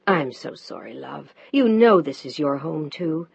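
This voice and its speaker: Lower husband voice, curt, woman reader putting it on. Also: expressive, moderate pace, gravelly, husky, dry recording, dull audio, heavily acted. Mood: tender. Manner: low, curt, annoyed. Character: man, a husband, tired then tender.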